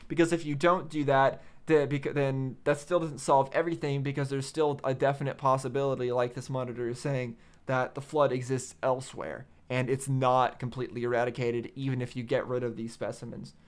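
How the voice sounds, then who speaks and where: A man's voice: medium pitch, flat delivery, a little fast, hardly any pauses, clear, resonant tone. A man hosting a game reaction channel, thinking aloud in casual commentary and repeating phrases as he reasons.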